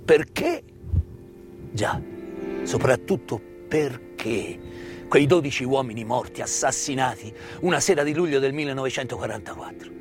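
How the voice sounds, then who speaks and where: A middle-aged man delivers a narrative stage monologue with deliberate, emphatic phrasing and dramatic pauses. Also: medium pitch, animated. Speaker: stage actor and storyteller. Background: live instrumental music.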